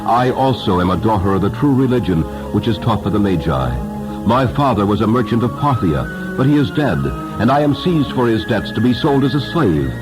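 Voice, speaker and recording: A man's voice: lowish voice, expressive, a little fast, over music, pitched above the performer's own voice, lightly acted, low-quality recording. A man voicing a desperate young captive girl, pleading dramatically for rescue in a storybook reading.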